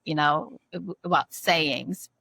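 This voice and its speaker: A woman's voice: sits low, slow, gravelly, husky, no echo, low-quality recording. Emphatic woman with a Turkish-German accent. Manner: animated, emphatic, repeats herself. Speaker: podcast host. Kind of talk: casual podcast conversation.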